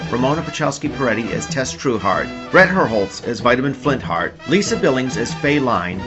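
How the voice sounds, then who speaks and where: A man's voice: medium pitch, muffled recording. A man reading scripted closing credits as an announcer. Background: guitar music.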